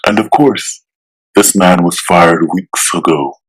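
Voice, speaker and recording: A man voicing an arrogant, menacing billionaire villain in a pompous, deliberate, threatening way for a comic-book drama. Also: deep voice, low-quality recording. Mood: smug.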